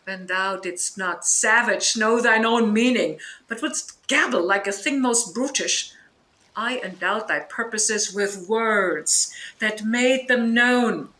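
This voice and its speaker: Woman playing a commanding, sharp-voiced sorceress. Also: medium pitch, slow pace, rough recording. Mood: scornful. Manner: imperious, declamatory. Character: commanding, stern sorceress and protective mother. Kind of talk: Shakespearean audio drama acting.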